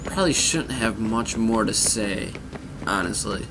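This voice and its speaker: A man hosts a casual gaming video in a fairly low voice. He drops short, offhand, muttered reactions, with clear game noise behind him. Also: animated, a little slow. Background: video game audio.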